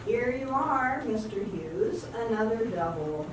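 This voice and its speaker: An amateur actress playing a wry, world-weary bartender who delivers quips in a deadpan way in a radio drama. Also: female voice, on the high side, a little slow. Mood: dry, wry. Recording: very echoey, noisy hall, distant mic.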